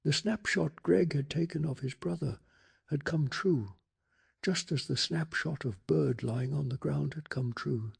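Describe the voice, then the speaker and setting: Male ASMR bedtime narrator: very husky and breathy, heavily creaky, hushed and measured in delivery. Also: fairly high pitch. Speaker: ASMR storyteller. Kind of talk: soft-spoken ASMR bedtime story narration.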